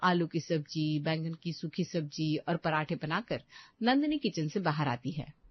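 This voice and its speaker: A woman's voice: low voice, expressive, unhurried, dry recording, dull audio. Woman story narrator, very dry sound.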